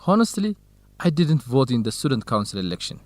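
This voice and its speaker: An easygoing, modest young male researcher played by an actor in a radio drama. His voice sits at a middle pitch, and he talks politely and frankly in a low-key way. The sound is dry and close. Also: sing-song, brisk, a little mellow, no echo, lightly acted. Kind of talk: educational radio drama acting.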